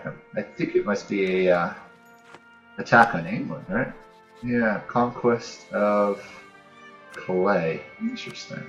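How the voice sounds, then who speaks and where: A man giving casual let's-play commentary on a strategy game, thinking aloud as he plays. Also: on the low side. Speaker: host of a gaming channel playing a strategy game. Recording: dull, roomy home recording. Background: game music.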